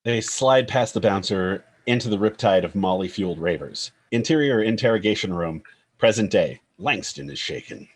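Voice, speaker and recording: A man's voice: fairly low pitch, expressive, moderate pace, husky. A man narrating a screenplay's stage directions in a scripted table-read style.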